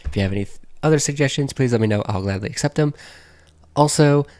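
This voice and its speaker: A man hosting his own game video, reviewing a game in a loose, rambling, conversational way while hoarse and losing his voice from allergies. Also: mid-range voice, fast, darkish tone, dry recording, clean studio sound. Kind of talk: casual game review voice-over.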